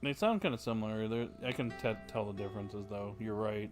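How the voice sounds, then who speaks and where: A man's voice: fairly low pitch, a little fast, faint music behind. A man giving casual reaction commentary as he livestreams a story-driven game.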